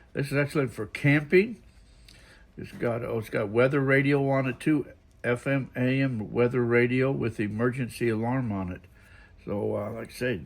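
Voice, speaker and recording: Creaky-voiced man casually showing off a gadget. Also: medium pitch. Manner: folksy, thinking aloud. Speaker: product reviewer showing gadget on video. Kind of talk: casual unboxing and demonstration, unscripted. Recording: faint background noise.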